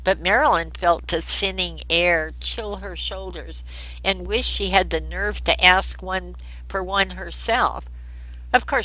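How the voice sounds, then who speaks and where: Female author-narrator for a novel, bright voice, deliberate pace, dry recording. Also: lowish voice, no room sound, rough recording. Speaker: author reading own novel. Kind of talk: audiobook narration of fiction.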